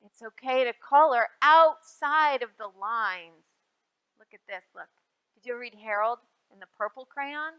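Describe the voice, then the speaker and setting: A woman hosting a storytime for young children, reading aloud in a sing-song way with drawn-out, emphasized phrases and simple prompts to her listeners. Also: a little high, clear, resonant tone, muffled recording. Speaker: children's librarian and storytime host.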